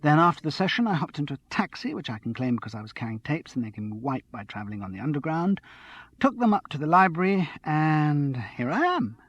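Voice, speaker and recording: A male narrator doing a bubbly young woman, tipsy and wound up, chattering away in a raised, bright voice. She rushes from one thought to the next. The storybook recording is dry and a little dull. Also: fairly high pitch, no echo, voice pushed up, heavily acted. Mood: giddy. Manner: breathless, chattering, excitable. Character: giddy, tipsy young woman studio manager.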